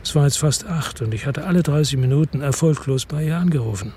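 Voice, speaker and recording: A man's voice: a little high, quick pace, a little mellow, a little hiss or hum. A man narrating a radio drama in the first person, brooding and introspective.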